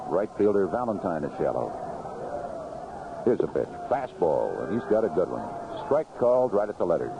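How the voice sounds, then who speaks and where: An American man calling a baseball game play by play on 1970s radio, in clipped, unhurried phrases from an outdoor ballpark booth. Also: deep voice, big pitch swings, creaky. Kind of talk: live radio sports commentary, 1970s. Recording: noisy vintage AM radio broadcast, muffled.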